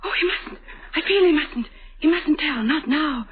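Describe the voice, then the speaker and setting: A woman's voice: high voice, expressive, moderate pace. An actress playing a tormented middle-aged mother in a vintage radio drama, tearful and theatrical. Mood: anguished. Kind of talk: 1930s radio acting. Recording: tinny old radio broadcast.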